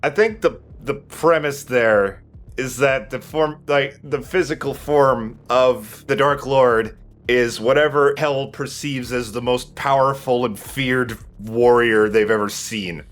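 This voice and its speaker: A man's voice: mid-range voice, slow pace, fairly bright tone. A man chatting casually and jokingly as he commentates on a video game, swearing freely. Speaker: let's play commentator. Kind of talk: casual gaming chat with co-host.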